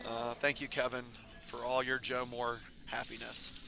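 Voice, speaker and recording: A man commentating a curling tournament stream in a casual, rambling way, his voice raspy and hoarse from talking all weekend. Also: mid-range voice, moderate pace, crowd noise. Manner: relaxed, rambling, many fillers. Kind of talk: casual live sports commentary. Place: curling club.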